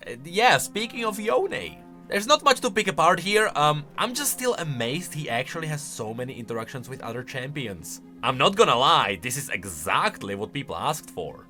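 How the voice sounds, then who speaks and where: Animated, bright-voiced man doing commentary. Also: a little high, moderate pace, few pauses, soft background music. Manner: emphatic, conversational. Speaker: video host. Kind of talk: casual explanatory game lore commentary.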